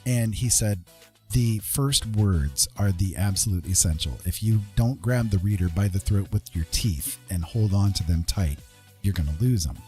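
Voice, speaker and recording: A man with a husky voice chatting casually as he paints on a live stream, rambling and self-deprecating, often checking that listeners follow. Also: fairly low pitch, moderate pace, warm tone, soft background music. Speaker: artist and writer hosting a live stream.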